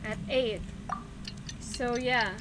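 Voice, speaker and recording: A woman's voice: fairly high pitch, fairly even intonation, drawn-out words, heavy background noise. A woman with a Filipino accent chatting casually as a game livestreamer. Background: game music and character voices.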